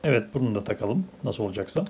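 A woman's voice: rumbling low, expressive, rapid-fire, background noise. A woman narrating a casual toy unboxing for children, as a parent chatting with a child indoors at a table.

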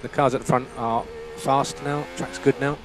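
A man's voice: mid-range voice, fairly even intonation, normal speed. Male race engineer on team radio, music behind. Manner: repeats key phrases, matter-of-fact. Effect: team radio transmission. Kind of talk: terse instructions over race radio.

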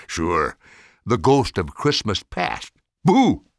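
Storybook role: elderly toy maker, male narrator acting him, teasing, kindly, hugely animated delivery, dry sound. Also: lowish voice, takes it slowly, gravelly, no room sound, full character voice. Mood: amused. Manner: chuckling, playful teasing.

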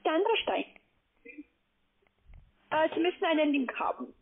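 Woman, high-pitched, non-native speaker, polite, halting, tinny phone line. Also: lively intonation, a little hiss or hum. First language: not German. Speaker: call centre agent. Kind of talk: instructing a customer by phone. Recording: rough narrowband phone line.

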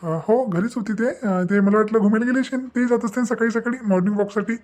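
A man's voice: high-pitched, fairly even intonation, quick pace, a little mellow, lightly acted. A man voicing a worried, evasive village father in a Marathi cartoon, with a rural Maharashtrian accent and a fretful manner. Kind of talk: Marathi comedy cartoon dialogue.

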